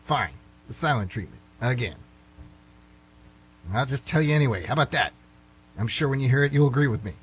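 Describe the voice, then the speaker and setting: A man's voice: medium pitch, low-quality recording. A man acting a flustered, middle-aged guy who nervously rambles and corrects himself in an amateur radio drama. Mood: rambling, exasperated. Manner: nervous, halting, self-correcting, excitable. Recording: slightly rough amateur radio recording.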